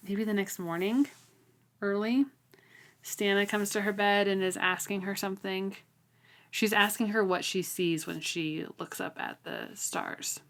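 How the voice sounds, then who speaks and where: A woman's voice: on the low side, a bit bright. A woman co-hosting a podcast, talking through a book in a casual, informal, conversational way. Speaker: podcast co-host.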